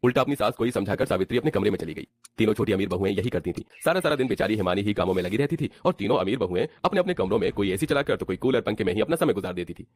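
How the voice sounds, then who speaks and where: A man's voice: fairly low pitch, animated, rapid-fire, no echo. A man narrating a scripted cartoon family story as the storyteller.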